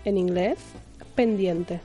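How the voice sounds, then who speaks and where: A woman's voice: fairly low pitch, slow, mellow, soft background music. A woman co-hosting a radio show in casual chat.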